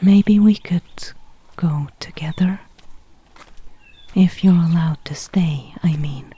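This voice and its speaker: A woman acts out a gentle elven ranger in a fantasy ASMR roleplay. She speaks in a very breathy, hushed whisper at a slow, unhurried pace, kindly and thoughtful, with a soft, mellow tone. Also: lowish voice, heavily acted. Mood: gentle, fond.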